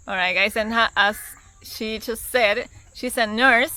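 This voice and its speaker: A pregnant woman, speaking slowly and enthusiastically, repeating words as she narrates her travel vlog. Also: a little high, steady tone, pushed and bright. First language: Spanish. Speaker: travel vlogger. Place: outdoors at a lodge.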